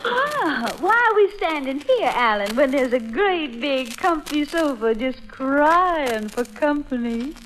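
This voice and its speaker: An actress playing a flirtatious, gushing Southern belle with a syrupy American Southern drawl in 1940s radio comedy. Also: female voice, high-pitched, lively intonation, takes it slowly, hardly any pauses. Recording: vintage radio recording with faint noise.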